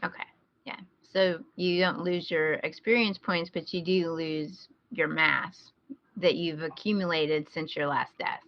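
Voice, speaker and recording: Lowish-voiced woman in casual, musing podcast chat. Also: fairly even intonation, unhurried, highs cut off. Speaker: podcast co-host. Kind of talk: casual chat, asking questions.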